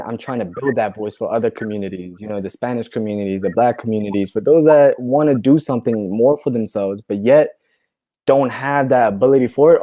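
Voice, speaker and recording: A man talks loosely and at length as a guest, circling back with small asides and checks for agreement. His voice is mellow and middle-pitched, and he sounds a little tipsy from the wine. The sound is dry, with no room echo. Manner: relaxed, rambling storyteller, says "you know" and "right?" a lot. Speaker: clothing brand founder, guest. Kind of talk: casual conversation.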